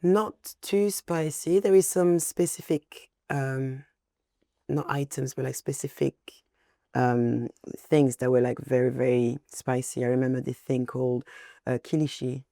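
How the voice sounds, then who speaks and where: A man's voice: medium pitch, steady tone, measured pace, vocal fry, dark tone, no room sound, clean studio sound. A young man with a French accent, a guest chatting casually about food, searching for words as he talks. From France. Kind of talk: casual chat.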